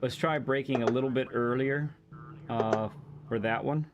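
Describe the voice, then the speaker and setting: A man hosting a live racing game stream, coaching a guest with calm, encouraging feedback. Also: medium pitch, moderate pace, muffled recording, a little hiss or hum. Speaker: host and driving coach.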